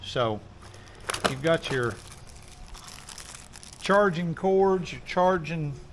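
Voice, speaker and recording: A man's voice: fairly high pitch, a little hiss or hum. Folksy man unboxing gear. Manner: relaxed, folksy, many "uh" pauses. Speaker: video host doing an unboxing. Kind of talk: casual unboxing walkthrough. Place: outdoors in a park.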